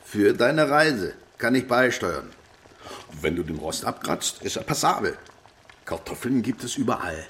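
Low, lively, gruff cook. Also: male voice, measured pace, exaggerated voice. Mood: wry. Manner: brusque, grumbling, teasing. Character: gruff, wry, overworked male restaurant cook. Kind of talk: children's radio play acting.

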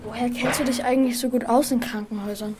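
A woman's voice: on the high side, fairly even intonation, fast talker, noisy background, natural voice. A woman playing an anxious, sceptical young boy who acts and narrates in a children's radio play, sounding hesitant. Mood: wary. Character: anxious, doubting young boy, narrating his runaway adventure.

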